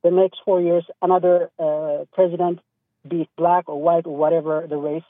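High-voiced guy from east africa calling in, lots of uhs and restarts, tinny dry phone sound. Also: level tone, moderate pace, dry recording. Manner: hesitant, many fillers and restarts. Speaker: caller. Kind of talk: call-in radio conversation.

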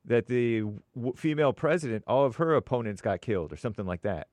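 A man's voice: medium pitch, expressive, quick pace, a little mellow, no room sound. A man co-hosting a talk radio show, making wry jokes. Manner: wry, joking.

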